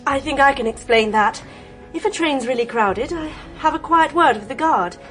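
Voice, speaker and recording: Inquisitive British woman, high pitch, sensible, direct, radio mystery acting. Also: expressive, moderate pace, a bit bright, faint music behind. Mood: matter-of-fact.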